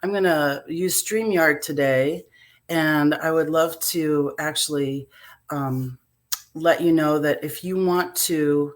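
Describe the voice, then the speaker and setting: Host, woman, very low pitch, slightly hoarse, chatty with fillers. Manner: warm conversational, loose with fillers. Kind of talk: live-streamed interview. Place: home in Iowa.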